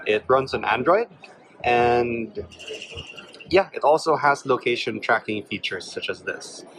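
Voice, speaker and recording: A man's voice: mid-range voice, animated, measured pace, fairly bright tone, slight background noise, poor audio. A man presenting a product demonstration in an explanatory way.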